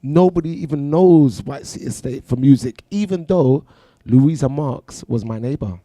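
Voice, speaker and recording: A middle-aged man with a London accent, chatting as a guest on a radio show and telling animated stories about his career. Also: mid-range voice, moderate pace, no room sound. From London, England. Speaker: guest DJ and producer. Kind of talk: casual radio interview. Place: radio studio. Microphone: studio mic.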